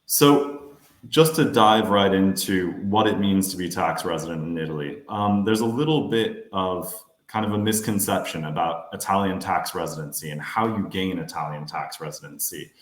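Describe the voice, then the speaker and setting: An American man in his thirties, a financial adviser giving a webinar presentation in a steady, explanatory way with some fillers. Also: deep voice, normal speed, roomy sound. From United States.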